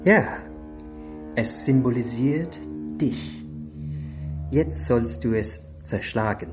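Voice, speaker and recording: An actor playing a calm, menacing Chinese triad boss, deliberate and solemn, in a radio crime drama. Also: male voice, medium pitch, over music. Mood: solemn, commanding.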